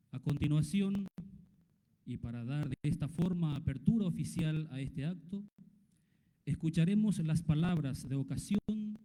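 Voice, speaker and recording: A man opens an official ceremony, announcing briskly and formally. His voice is very dark, yet pitched a little high. Long reverb from the hall trails after his words. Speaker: master of ceremonies. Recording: echoey hall.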